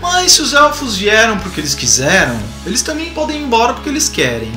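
A man's voice: very high voice, fast talker. A man in his forties with a Brazilian accent, giving casual, colloquial explanatory commentary as a video host. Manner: animated, colloquial, rhetorical asides. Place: small indoor home room with bookshelves. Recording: roomy echo, music behind.

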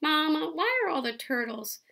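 A woman's voice: high-pitched, very animated, moderate pace, vocal fry, sharp tone, pitched above the performer's own voice, exaggerated voice. A woman voicing a curious, hungry little boy who tells jokes, asking eager questions in a storybook.

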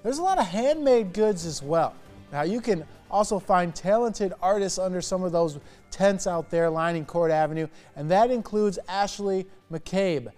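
A man's voice: high-pitched, a little mellow. An American man in his thirties hosting a conversational morning news interview in a TV studio. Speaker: TV news anchor interviewing a guest.

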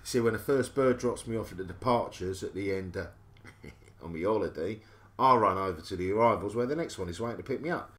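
A man's voice: fairly low pitch, brisk, lightly acted. An actor playing a cheeky, flirtatious Cockney salesman in an audio drama, chatty and cajoling. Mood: sly, confiding.

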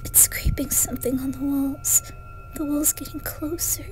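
Woman acting terrified high-voiced young woman. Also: wildly swooping pitch, moderate pace, slight background noise. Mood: whispering, terrified. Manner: intense, pleading, often hushed. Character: terrified young woman trapped at home by a stalking entity. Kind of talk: dramatised horror story reading.

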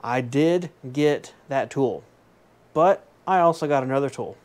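A man's voice: mid-range voice, measured pace, darkish tone. A man in his thirties, chatty and enthusiastic, showing off tools in a small home woodworking shop, often correcting himself with jokey asides. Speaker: woodworking vlogger. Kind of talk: casual show-and-tell vlog. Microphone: fixed camera at desk distance.

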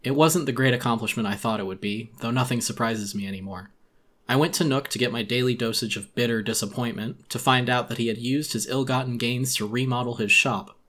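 A man's voice: mid-range voice, fairly quick, fairly bright tone. A man giving a dramatic first-person audiobook narration of a horror story in a weary, brooding manner.

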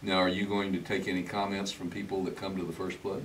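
A man in his seventies conducting a conversational interview, halting and repeating phrases as he goes. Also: sits low, level tone, fairly bright tone. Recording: slightly distant mic, faint background noise.

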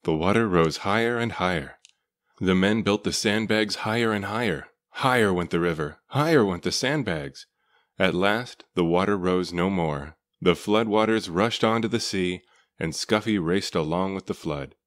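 A man reading a children's story aloud as narrator, with measured, deliberate phrasing. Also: low-pitched. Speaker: storybook reader.

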